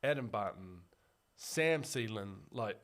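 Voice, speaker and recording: A man's voice: fairly low pitch, animated, takes it slowly, fairly bright tone. A young man, co-hosting a casual sports podcast in an upbeat, chatty way and laughing easily. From New Zealand. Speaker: podcast co-host.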